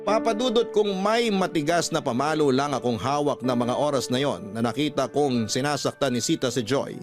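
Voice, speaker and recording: An actor playing a hurt, protective relative who tells a family story in a measured, earnest radio drama narration. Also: male voice, mid-range voice, expressive, over music, close to an everyday voice. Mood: hurt, restrained anger.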